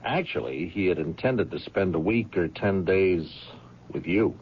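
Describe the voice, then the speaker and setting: An actor playing a dogged police lieutenant in a 1970s radio drama, questioning suspects in a methodical, insistent manner. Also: male voice, sits low, unhurried. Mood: probing, insistent. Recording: muffled, noisy old radio broadcast.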